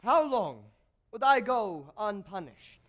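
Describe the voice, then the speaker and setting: A scheming, embittered court composer played by a man confides in the audience. His very high voice moves slowly and swings widely, grandiose and declamatory, as in a stage tragedy. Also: sing-song, a bit bright, heavily acted. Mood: gloating, uneasy. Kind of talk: theatrical stage monologue.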